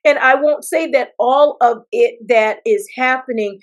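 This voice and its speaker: An American woman from South Carolina answering interview questions as a political candidate, speaking in deliberate phrases with pauses. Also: high voice, highs cut off. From South Carolina, United States. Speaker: political candidate, attorney and former judge.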